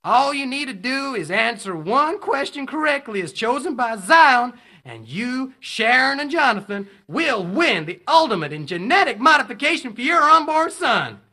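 Slick, very high-pitched game show host. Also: male voice, very animated, normal speed, hardly any pauses, pushed and bright, exaggerated voice. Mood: showy. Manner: theatrical, gushing announcer delivery. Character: slick, showy male game show host of a dystopian future. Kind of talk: game show patter in an audio drama.

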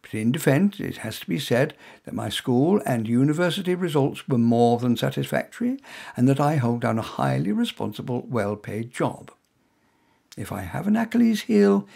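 A man's voice: mid-range voice, creaky, a little mellow, breathy edge. A man reading his own first-person short story in a wry, self-mocking way.

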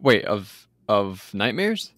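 A man's voice: lowish voice, very animated, slow, fairly bright tone, dry recording, clean studio sound. A man co-hosting a podcast, casually recapping a TV episode in a rambling way with many fillers and restarts. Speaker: podcast co-host.

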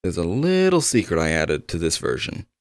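A man acts out an eager male game developer in an intimate audio roleplay. His voice is low and swings expressively as he talks attentively, a little awkward and quick to apologize. The sound is close and dry. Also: huge pitch swings, dry recording. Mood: excited, friendly. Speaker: roleplay voice actor. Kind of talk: ASMR-style roleplay addressed to the listener.

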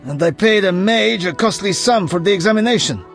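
An actor playing a haughty, rich, fat merchant in a fantasy game, with a theatrical delivery. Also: male voice, high-pitched, lively intonation, brisk, gravelly, fairly bright tone, faint music behind, heavily acted. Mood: smug, bargaining.

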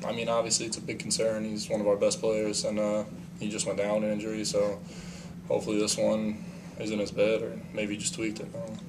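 A young man, a college basketball player, giving relaxed postgame answers and leaning on "you know". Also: on the low side, a little fast. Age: young adult. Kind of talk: postgame press conference answers. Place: small press room. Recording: mic at a distance, noisy room.

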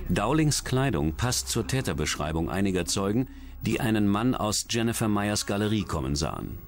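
Low-voiced man narrating true crime. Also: lively intonation, normal speed. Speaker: documentary narrator. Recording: music bed under the voice.

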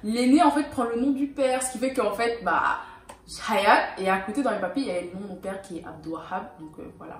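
A young woman from Senegal talks in a relaxed, easy way and often chimes in to agree. Her pitch is middle. She is picked up from a distance in an echoey room. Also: fairly quick, a little hiss or hum. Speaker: youtuber co-host. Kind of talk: casual chat.

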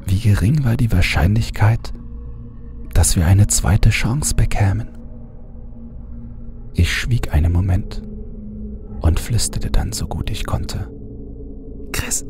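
A man narrating a horror audiobook in a hushed, often whispering manner, with music underneath. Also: on the low side, lively intonation, takes it slowly, pauses often.

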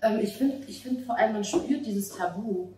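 A woman's voice: medium pitch, normal speed, warm tone. Woman answering in a very echoey space. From Germany. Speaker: playwright and actress being interviewed. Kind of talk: earnest interview answers. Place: outdoor café table on a street at night. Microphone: handheld interview mic held across the table. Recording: roomy, distant mic, street-side ambient noise.

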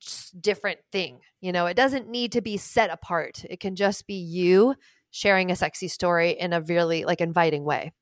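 A young woman co-hosting a podcast over a remote call, giving relaxed advice in a chatty way full of fillers and "like". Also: on the low side, moderate pace, no room sound. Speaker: podcast co-host, intimacy coach. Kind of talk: casual advice chat. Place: small room at home. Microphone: studio mic on stand, headphones, remote call.

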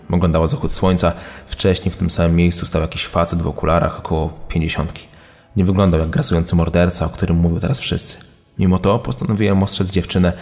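A man playing a park ranger giving a sombre witness account in a true-crime audio dramatisation, with a gravelly voice. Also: low-pitched, darkish tone. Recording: long reverb, music bed behind.

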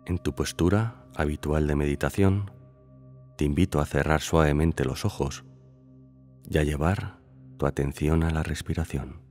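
Male meditation guide, extremely low register, calm and measured, soft music underneath. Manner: calm, unhurried phrasing with long pauses. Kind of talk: slow scripted guided meditation. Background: background music.

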